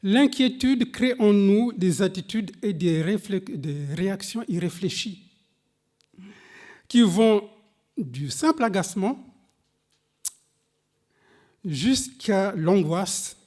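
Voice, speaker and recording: Male preacher, non-native French speaker, high voice, unhurried and emphatic sermon delivery. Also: a little mellow. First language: a language other than French. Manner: slow, emphatic preaching with dramatic pitch swings, reading scripture aloud; addresses the congregation directly. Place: church service.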